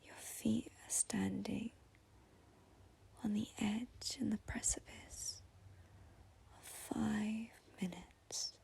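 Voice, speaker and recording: A woman leads a guided meditation in a soft, slow whisper. Her voice is very husky and gravelly, with a mellow, dark tone, and she takes her time over each phrase. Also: mid-range voice, level tone.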